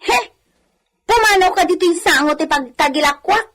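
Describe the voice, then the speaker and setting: An Ilocano-accented woman acting a sharp-tongued, scornful villager in a radio comedy, scolding and taunting. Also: extremely high pitch, normal speed. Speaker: actress in a radio comedy drama.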